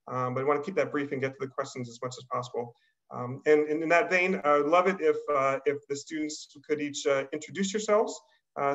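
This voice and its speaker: A middle-aged man hosting an online panel, speaking in a halting, polite, apologetic way over a video call. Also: mid-range voice, a bit bright. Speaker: admissions dean hosting a panel. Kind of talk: hesitant unscripted welcome on a webinar. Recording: slightly dull video-call audio.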